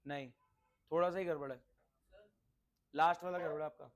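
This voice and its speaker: Male teacher, notably high voice; interactive lesson delivery with repetition, check-in questions and English terms mixed in. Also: steady tone, fairly quick. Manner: instructive, repeats points, checks understanding with questions. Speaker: accountancy teacher.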